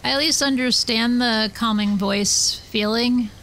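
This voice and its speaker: A woman's voice: fairly high pitch, steady tone, unhurried, a bit bright, a little hiss or hum, low-quality recording. A woman streamer chatting casually with viewers while she plays, rambling and self-deprecating. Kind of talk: casual chat while gaming.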